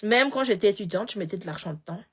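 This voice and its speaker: A woman with a slight Malagasy accent talking in a casual, animated way, repeating words as she goes and switching between languages. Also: medium pitch, fast, breathy edge. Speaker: political commentator. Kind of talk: casual live talk.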